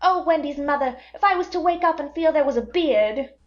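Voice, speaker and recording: A woman's voice: a little high, normal speed, pitched above the performer's own voice, close to an everyday voice. A woman voicing a cocky young boy who refuses to grow up, in a raised, dull-toned voice for a storybook. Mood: defiant. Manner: cocky, defiant.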